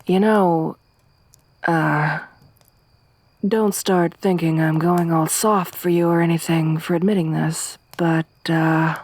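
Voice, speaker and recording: A woman plays a tough young wasteland survivor who talks low and slow, her voice close and dry with no room sound. Her tone stays flat and even, soft-spoken but gruff, with dry teasing running through it. It's an intimate ASMR roleplay. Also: no echo, heavily acted. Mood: low-key, wry.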